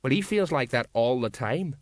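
A man's voice: medium pitch, moderate pace, no echo, studio quality, natural voice. Man doing a glum older watch sergeant. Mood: glum explaining. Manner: flat, glum, colloquial. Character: gloomy, plain-spoken older male watch sergeant.

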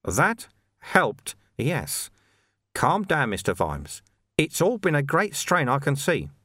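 A man voicing a calm, chatty monk in an audiobook, soothing and lightly teasing as he explains things. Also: medium pitch, slow pace, dry recording, studio quality, voice pushed up, heavily acted. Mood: calm, placating. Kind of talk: audiobook character dialogue.

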